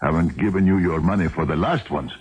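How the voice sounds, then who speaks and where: Deep-voiced, shifty, nervous traveling man. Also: very animated, fairly quick, vocal fry, heavily acted. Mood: nervous bluffing. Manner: hesitant, stammering, falsely casual. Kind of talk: 1970s radio drama acting. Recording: noisy 1979 radio broadcast recording.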